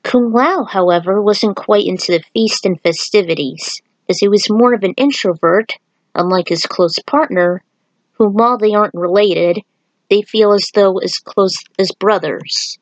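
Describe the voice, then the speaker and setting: A woman's voice: medium pitch, measured pace, no room sound, low-quality recording. A woman reading scripted fan fiction aloud as the narrator of a story.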